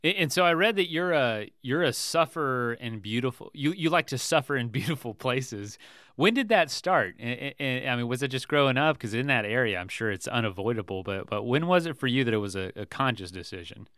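A man's voice: medium pitch, animated, brisk, a bit bright, no room sound, clean studio sound. A male podcast host asking questions in a friendly way and stumbling over his first words. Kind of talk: casual interview conversation.

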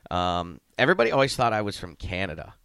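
Man, from Ohio, very low voice, smooth, dry studio sound, rambling casual talk. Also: sing-song, fast, a bit bright, no echo. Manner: rambling, many restarts. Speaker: head coach and co-host. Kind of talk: casual radio chat.

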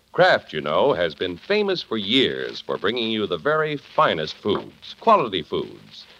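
Very animated 1950s male radio announcer. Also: on the low side. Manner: polished, dramatic delivery. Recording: muffled, noisy vintage 1950s radio recording.

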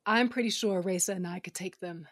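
A woman's voice: mid-range voice, brisk, fairly bright tone, lightly acted. A woman playing a brash, feisty young starship pilot in an audio drama, punchy and cocky.

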